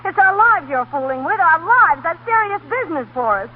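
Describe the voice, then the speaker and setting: A woman's voice: extremely high pitch, big pitch swings, a little fast, phone-quality sound. An actress playing an impassioned, wronged woman in a 1930s radio drama, earnest and emphatic. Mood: indignant, pleading. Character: impassioned, wronged adult woman pleading her case. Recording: thin, noisy 1930s radio broadcast.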